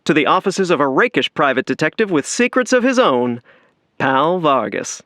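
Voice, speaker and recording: A man's voice: a little high, big pitch swings, normal speed, a bit bright. A man playing a suave, grandiose announcer of an old-time radio drama, dramatic in delivery. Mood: ominous intrigue. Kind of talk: 1940s radio announcer acting.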